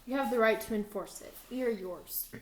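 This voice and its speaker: A woman voicing a chorus of cautious old men who speak in a hushed, deferential way. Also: a little high, a little fast, slight background noise, close to an everyday voice. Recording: roomy echo.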